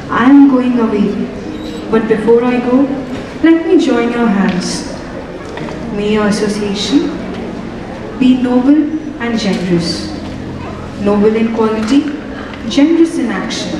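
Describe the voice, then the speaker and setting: A young woman acting a calm, dignified wife on a school stage, speaking in measured, solemn declamation. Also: on the high side, background noise. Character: serene, dignified wife who gives up her husband. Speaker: student actor in a stage play. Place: large auditorium stage. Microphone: distant stage mic. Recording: echoey auditorium from far off.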